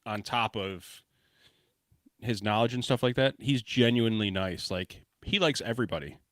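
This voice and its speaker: A man with a lowish voice, recorded dry and close in a home office, co-hosting a podcast. He jokes, swears freely and tosses out loose, brash banter. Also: normal speed, fairly bright tone, no echo. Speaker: podcast co-host. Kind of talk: casual podcast chat.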